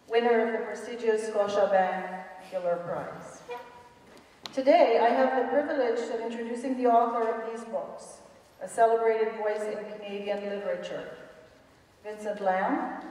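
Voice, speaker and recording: A middle-aged woman giving a deliberate, formal prepared introduction as host from a stage podium. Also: medium pitch, darkish tone. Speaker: event host introducing a speaker. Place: stage of a large school auditorium. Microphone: podium microphone, far camera. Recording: very echoey hall, distant, faint noise.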